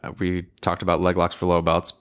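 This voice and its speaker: A man in his thirties with a low voice hosts a podcast. He talks casually in short phrases, setting up quickfire questions at a studio microphone. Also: monotone, fairly bright tone, clean tone. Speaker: podcast host. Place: small indoor podcast studio. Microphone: podcast microphone up close.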